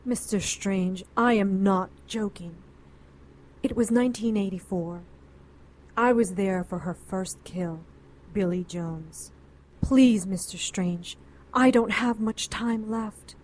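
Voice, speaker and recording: A woman's voice: mid-range voice, slow, a little mellow. An actress playing an anxious, educated middle-aged woman client in a noir radio drama, earnest and pleading. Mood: pleading, frightened.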